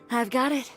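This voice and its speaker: A woman's voice: sits high, fairly bright tone, exaggerated voice. A woman playing a proud, determined young fashion designer in a video game, composed, assertive and dry. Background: background music.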